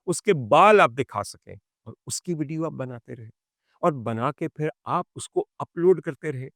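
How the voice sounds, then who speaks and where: A man's voice: mid-range voice, no room sound, clean studio sound. A man with a Pakistani accent delivering dramatic crime commentary as a monologue, emphatic, rhetorical and indignant. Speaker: commentator, presenter.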